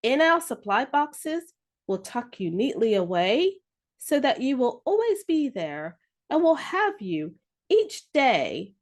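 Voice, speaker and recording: A woman's voice: high voice, very animated, takes it slowly, heavily acted. A woman voicing an earnest, apologetic schoolboy pleading in a children's storybook.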